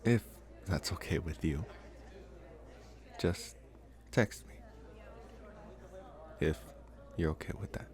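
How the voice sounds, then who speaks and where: A man plays a young vampire boyfriend at college, with a low voice. He talks softly and hesitantly in an intimate ASMR roleplay, sweet and a little awkward, with a wry, teasing streak. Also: takes it slowly, long pauses. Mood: grateful, tentative. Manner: hesitant, gentle, wryly playful.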